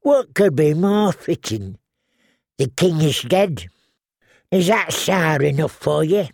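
A man voicing an ancient, tiny dwarf woman seer who speaks slowly and eerily with a croaking, drawn-out voice. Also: on the high side, animated, a little mellow, no echo, studio quality, pitched above the performer's own voice, full character voice. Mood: ominous. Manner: slow, wheedling, prophetic. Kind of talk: audiobook character voice.

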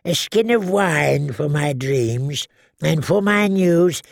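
A man doing a croaking ancient dwarf crone. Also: a little high, animated, no echo, clean studio sound, voice pushed up, full character voice. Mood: wheedling. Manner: slow, wheedling, prophetic. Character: ancient tiny dwarf woman seer, eerie and cackling. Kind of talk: audiobook character voice.

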